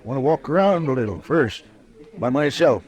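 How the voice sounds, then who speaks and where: An actor playing an evasive, self-important crooked judge in a radio western, hesitant and blustering. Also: male voice, mid-range voice, lively intonation, normal speed, darkish tone, close to an everyday voice. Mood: nervous, insistent. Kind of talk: old-time radio western acting.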